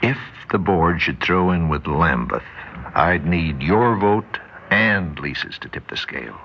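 Low-voiced, guarded businessman. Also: lively intonation, unhurried, gravelly, heavily acted. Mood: uneasy, calculating. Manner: terse, questioning. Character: guarded, ambitious businessman brother, wary but persuadable. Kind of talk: 1960s radio drama acting. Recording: muffled, hissy 1960s radio recording.

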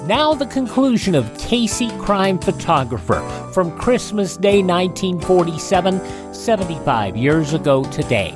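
A man's voice: sits high, very animated, measured pace, over music. A man hosting a radio show, reading a scripted introduction.

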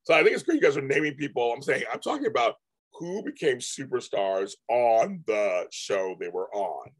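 A man talks casually to his live-stream viewers, his voice hoarse and husky. He rambles, repeats himself and restarts his sentences often. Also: mid-range voice, big pitch swings, normal speed. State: hoarse, voice going out. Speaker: livestream host.

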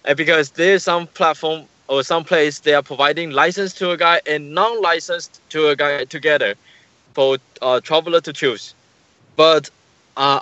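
Halting man on a muffled call line. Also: fairly high pitch, bright, forceful tone, no echo. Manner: halting, searching for words, repeats himself. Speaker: guest, tour business manager. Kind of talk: interview answers.

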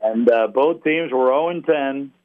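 A middle-aged man, a sports announcer, talks as an interview guest in a halting, wry, conversational way. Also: medium pitch, heavy background noise. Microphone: telephone. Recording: tinny phone line.

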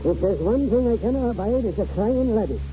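An actor playing a gruff, vain old Scottish grandfather in a 1940s radio drama. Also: high voice, lively intonation, brisk, dark tone, a little hiss or hum. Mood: gruff, wry. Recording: muffled 1940s radio recording.

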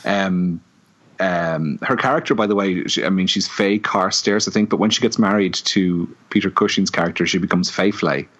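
A man co-hosting a podcast, casually reviewing a film with lots of ums and pauses. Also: low-pitched. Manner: relaxed, many ums and hesitations. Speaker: podcast co-host. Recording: dull lo-fi audio.

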